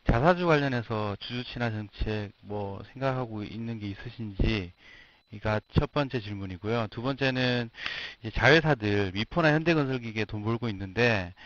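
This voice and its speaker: A man's voice: medium pitch, fairly bright tone. A man calling in as an analyst and asking questions in loose, casual phrasing. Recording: muffled phone-in audio.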